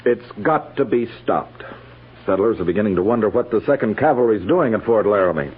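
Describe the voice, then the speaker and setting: A man's voice: on the low side, animated, fairly quick, breathy edge, phone-quality sound. An American man, middle-aged, playing a stern, by-the-book cavalry major, formal and authoritative, in 1950s radio western acting. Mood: firm. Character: stern, by-the-book older male army major. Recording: thin band-limited old radio recording.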